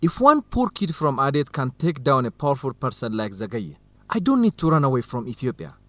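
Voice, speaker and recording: A man's voice: mid-range voice, big pitch swings, fairly quick, a bit bright, dry recording. An actor playing an earnest young male university student in love, speaking carefully in a radio drama for English learners. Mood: sincere, determined.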